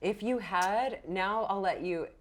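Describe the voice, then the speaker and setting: A woman's voice: medium pitch, takes it slowly. Woman game master, playful and conversational. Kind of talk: casual tabletop roleplaying talk, explaining rules.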